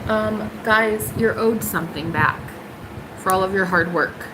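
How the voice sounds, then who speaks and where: A young woman hosting a casual tarot livestream, sassy and emphatic, jumping high in pitch for punchlines. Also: unhurried, noisy background.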